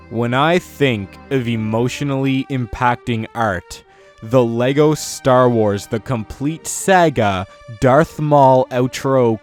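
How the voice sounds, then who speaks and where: Man, medium pitch, unhurried, mock-earnest countdown host, exaggerated praise, music behind. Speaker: video host. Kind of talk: scripted countdown video commentary. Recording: music under the voice.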